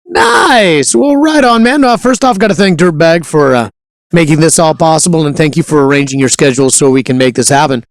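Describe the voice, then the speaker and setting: A man's voice: a little high, a little fast, no echo, studio quality. A man hosting a casual interview show, upbeat and hearty, hyping up his guest. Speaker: show host.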